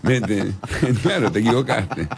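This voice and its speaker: A man's voice: on the low side, animated, measured pace. A man with an Argentine Rioplatense accent interviewing over a video call, teasing and laughing often. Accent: Rioplatense Argentine Spanish. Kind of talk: casual interview.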